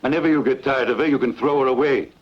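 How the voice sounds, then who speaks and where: A man's voice: medium pitch, quick pace, close to an everyday voice. A man playing a ruthless, bombastic barbarian warlord and father, impatient and scheming, in a film dub. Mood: cynical. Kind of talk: dubbed sword-and-sandal film dialogue. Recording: muffled lo-fi dub with faint noise.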